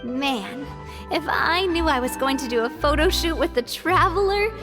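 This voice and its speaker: A woman's voice: high-pitched, moderate pace, music in the background. A woman playing a confident, cheerful and gracious young lady boss in a video game, speaking in an encouraging way. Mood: cheerful, reassuring. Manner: bright, encouraging, animated.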